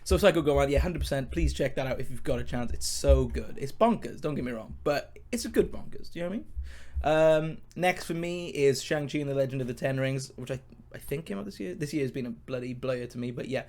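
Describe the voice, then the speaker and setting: A man with a mid-pitched voice talks informally to his viewers about films. He rambles keenly, leaning on emphatic words and repeating himself, and a mouth ulcer makes his speech sound slightly impeded. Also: fast, hardly any pauses, darkish tone. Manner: enthusiastic, informal, emphatic and repetitive. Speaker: podcast host, film reviewer. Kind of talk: rambling casual film chat to viewers.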